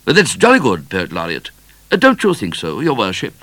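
An eager, money-minded royal treasurer from a storybook, put on by a man. He sounds courtly and forward, pitched well up with animated swings. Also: normal speed, no room sound. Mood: hearty, interrupting. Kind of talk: storybook character voice.

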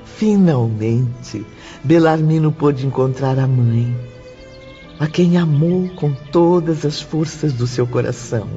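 A man narrating a scripted radio drama in a measured, serene storytelling manner. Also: a little high, mellow. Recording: dull recording with faint music.

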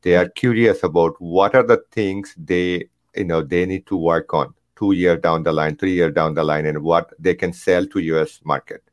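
A man's voice: sits low, fairly even intonation, moderate pace, hardly any pauses, no echo, muffled recording. A man hosting an interview, friendly and hesitant, with frequent fillers. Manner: friendly, fillers, addresses guest as Bhai. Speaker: host of a blog interview series.

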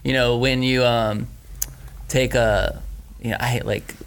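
A laid-back male host with a gravelly, fry-laden voice. He chats loosely, padding his thoughts with "like" and "you know". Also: mid-range voice, level tone, a little slow, slight background noise. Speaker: podcast host. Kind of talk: casual interview chat.

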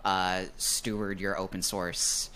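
A male podcast host opens the show and puts questions to his guest in a casual, upbeat way. His voice sits a little low, and he leans on fillers as he thinks. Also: flat delivery, slow pace, pushed and bright, slight background noise.

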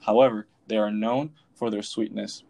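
Lowish-voiced young man reading a podcast script. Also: fairly even intonation, moderate pace, creaky, dark tone. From Venezuela. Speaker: podcast co-host.

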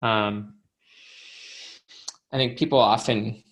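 A man's voice: fairly low pitch, fairly even intonation, takes it slowly, a bit bright. A man appearing as an author guest, explaining ideas in casual conversation, often checking in with "right?". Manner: explanatory, uses fillers like "you know" and "right?".

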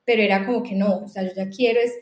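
A woman with a Colombian accent, a company founder and podcast guest, talking earnestly in a quick casual conversation with many restarts. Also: mid-range voice, steady tone, a little mellow, reverberant.

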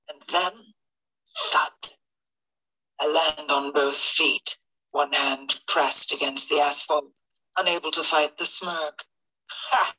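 A narrator voicing a cocky, brooding young Peter Pan in a slow, deliberate first-person audiobook reading, played back through a speaker. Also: mid-range voice, bright, forceful tone, husky, exaggerated voice, low-quality recording. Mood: boastful, regretful.

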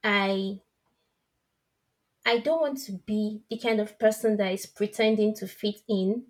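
A woman's voice: mid-range voice, unhurried. A woman from Nigeria with a Nigerian accent, chatting casually and laughing often as she tells stories. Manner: animated, laughs often, acts out quotes. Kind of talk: casual chat.

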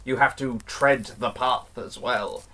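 A man playing an earnest, cryptic occult investigator and mentor in an audio drama, explaining things with slightly awkward, halting restarts. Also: mid-range voice, steady tone, pushed and bright, low-quality recording.

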